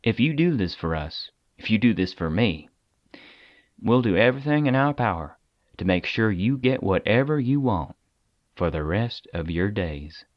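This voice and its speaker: An actor playing a weary, persuasive government agent in an audio drama, talking conversationally with deliberate dramatic pauses. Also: male voice, fairly low pitch, lively intonation, dry recording, lo-fi sound, natural voice. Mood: persuasive, solemn. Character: weary, persuasive male agent of a secret containment agency.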